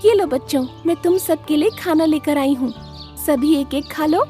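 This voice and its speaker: A woman's voice: high-pitched, normal speed, dark tone, soft background music, pitched above the performer's own voice, natural voice. A woman voicing a kind, selfless mother bird in a cartoon story, tender and motherly.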